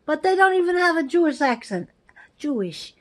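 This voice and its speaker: An older woman tells family stories in a put-on Italian-American accent, rambling from one memory to the next. Her voice sits high for a woman, and she talks straight to her viewers in a chatty, offhand way. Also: very animated, moderate pace. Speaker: vlogger, family storyteller.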